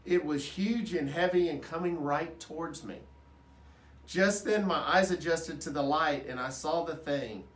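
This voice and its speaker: A man giving an expressive reading of a children's story. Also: on the high side, a little slow. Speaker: storybook reader at an elementary school. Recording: roomy echo, distant microphone.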